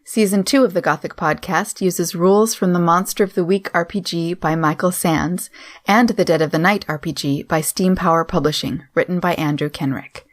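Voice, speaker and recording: Woman, podcast announcer, scripted credits read, lower-pitched voice.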